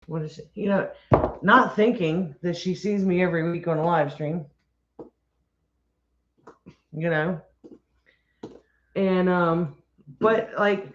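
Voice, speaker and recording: A woman chatting on a homestead livestream, rambling and friendly in tone, often restarting her sentences. Her voice sits at an ordinary pitch. The audio is roomy and a little dull. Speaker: homestead channel co-host. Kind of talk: casual livestream chat.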